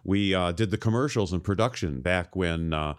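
A man's voice: low-pitched, normal speed, smooth. A man of nearly seventy hosting a podcast, chatting casually with easy laughs and many fillers. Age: 60s. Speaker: podcast host. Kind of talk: casual chat.

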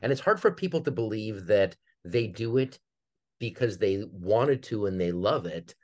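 A man's voice: fairly low pitch, animated, normal speed. A man speaking as an interview guest, explaining his work casually and conversationally. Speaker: guest, theater artistic director.

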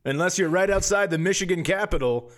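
Higher-voiced joking American man hosting. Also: brisk. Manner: joking, playful. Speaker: comedy podcast host. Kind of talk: casual comedic podcast banter.

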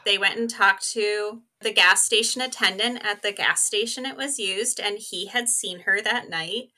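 A woman's voice: mid-range voice, measured pace, bright, forceful tone. A woman speaking as a podcast guest, explaining a case in a casual, conversational storytelling way. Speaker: podcast co-host as interview guest.

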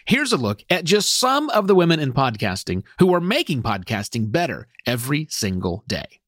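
A man's voice: medium pitch, sing-song, normal speed, fairly bright tone. A middle-aged man with an American accent, hosting a podcast and speaking to listeners with emphatic stress and repeated words. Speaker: podcast host.

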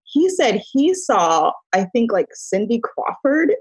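Woman, middle-aged, from the Midwest, husky, breathy tone, chatty storyteller, dry close sound. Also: a little high, very animated, slow, a little mellow, no room sound. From Chicago, US Midwest. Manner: chatty storyteller, laughing often, filler-heavy. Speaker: beauty brand founder, guest. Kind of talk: casual interview chat.

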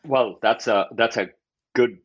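A man's voice: medium pitch, unhurried, dull audio. A man in his fifties with a Pakistani accent, an academic explaining research in an interview and often acting out quotes as he goes. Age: 50s.

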